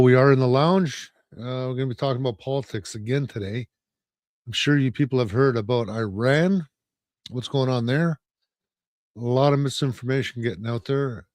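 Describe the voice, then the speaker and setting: Male co-host, middle pitch, dry close mic, casual and chatty. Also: darkish tone, no room sound. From Canada. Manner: loose, chatty, conspiratorial. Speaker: podcast co-host. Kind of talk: casual talk show hosting.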